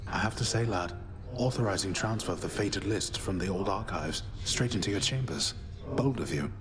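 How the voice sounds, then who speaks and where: An actor playing a grave, wise old underworld mentor in a video game, measured, formal and avuncular. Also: male voice, deep voice, natural voice. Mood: dry approval. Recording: music behind the voice.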